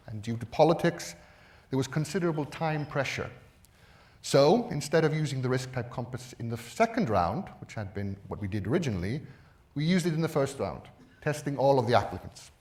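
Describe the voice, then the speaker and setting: A man presenting at a conference in a measured, explanatory manner, stressing key words with emphasis. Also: mid-range voice. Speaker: conference speaker.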